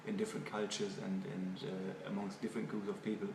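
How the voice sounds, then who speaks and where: German guy answering interview questions, lowish voice, thinks as he goes, repeats words, really echoey room. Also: completely flat delivery. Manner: halting, thoughtful, repeats words. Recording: echoey room, far from mic, noisy.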